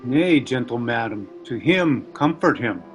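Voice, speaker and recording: An amateur actor reading a loyal, respectful attendant in a Shakespeare play, urging and soothing. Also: male voice, mid-range voice, expressive, measured pace, close to an everyday voice. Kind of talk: amateur Shakespeare read-aloud. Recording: video call with faint music.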